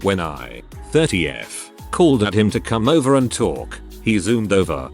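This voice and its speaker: A man narrating online stories, reading each post aloud in a scripted, expressive way. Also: on the low side, moderate pace, soft background music.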